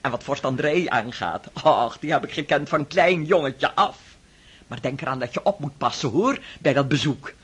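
A bossy, chatty old aunt. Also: male voice, fairly high pitch, expressive, normal speed, fairly bright tone, slightly rough, exaggerated voice. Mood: gossipy. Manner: commanding, teasing, chatty. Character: bossy, brisk elderly Moscow aunt. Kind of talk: radio drama.

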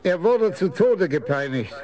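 Senior cop boss, reserved and probing, slightly high voice, short measured questions, radio play. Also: male voice, expressive, a little mellow. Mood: sober, probing. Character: senior male police commissioner, reserved, probing, terse. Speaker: radio drama actor. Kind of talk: German radio crime drama acting.

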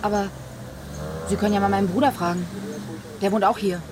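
A woman's voice: medium pitch, fairly even intonation, brisk, close to an everyday voice. An actress as a talkative female witness, noisy surroundings. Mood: chatty. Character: shaken, talkative female café worker and witness. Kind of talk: naturalistic German radio crime drama acting.

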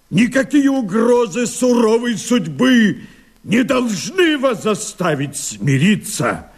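A betrayed Cossack rebel chief declaims verse in a radio play, fierce and anguished. His voice rides very high and rasps with gravel. Every phrase is stretched out, slow and impassioned. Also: heavily acted. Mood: rallying, commanding. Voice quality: husky, gravelly.

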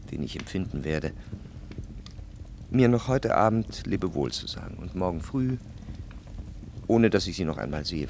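An actor playing a jealous, sorrowful lover in a radio drama, solemn, with deliberate phrasing and heavy pauses. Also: male voice, deep voice, a little hiss or hum.

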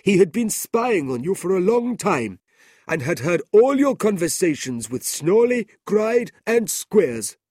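A man's voice: very animated, measured pace, darkish tone, no room sound, clean studio sound, exaggerated voice. A man doing an earnest old merchant. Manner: earnest and raised in pitch. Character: kindly elderly merchant, earnest and firm.

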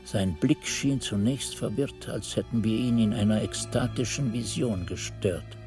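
An actor playing a monk chronicler, narrating a radio drama in solemn, descriptive literary prose. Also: male voice, on the low side, unhurried, a little mellow, soft background music, lightly acted. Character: monk chronicler recalling events in solemn detail.

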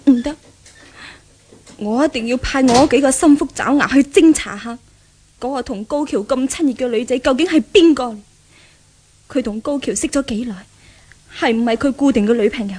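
A woman's voice: sits high, moderate pace, long pauses, a little mellow. An actress playing a spoiled, jealous young rich woman in a Cantonese radio drama, whiny and petulant, with a Hong Kong accent. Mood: scheming. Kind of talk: 1970s radio drama acting. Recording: 1970s radio drama recording.

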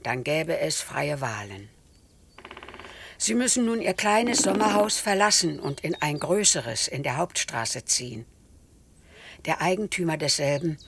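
A woman's voice: low-pitched, a little hiss or hum, full character voice. A woman reading as an elderly diarist mother. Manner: calm, measured reading. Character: elderly mother keeping a wartime diary. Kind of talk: documentary diary reading.

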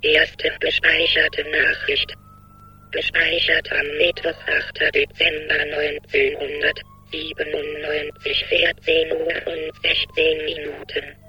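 A woman voicing an impersonal automated voicemail announcer in a radio drama, measured and clipped. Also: low voice, wildly swooping pitch, bright, forceful tone, slightly rough, soft background music, full character voice, poor audio. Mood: neutral.

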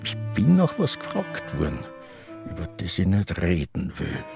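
An actor playing a confused, agitated old Austrian man in a radio drama, speaking haltingly and searching for words. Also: sits low, wildly swooping pitch, gravelly, music in the background, exaggerated voice. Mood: confused, brooding. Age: older.

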